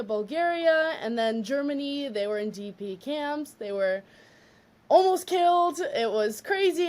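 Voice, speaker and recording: A young woman from the United States, a graduate student guest chatting on a podcast and telling her family story in a lively way. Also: fairly high pitch. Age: young adult. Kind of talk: casual podcast chat.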